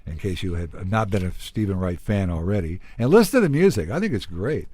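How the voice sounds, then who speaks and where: Chatty, low-pitched male podcast host. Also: very animated, a little fast, crowd noise. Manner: chatty, joking. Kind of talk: conversational interview.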